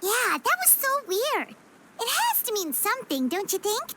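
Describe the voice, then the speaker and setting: A woman voicing a tiny, childlike, excitable fairy companion in a video game, with a squeaky voice and exclaiming delivery. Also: moderate pace, background noise, heavily acted. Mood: puzzled, curious. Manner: exclaiming, speaks of herself in third person. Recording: music behind the voice. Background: game music.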